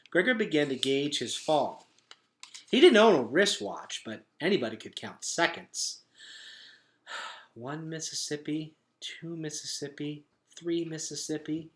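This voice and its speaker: Guy reading a kids novel out loud, super expressive n animated, bright voice. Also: a little high. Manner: dramatic, expressive storytelling. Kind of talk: animated children's book narration.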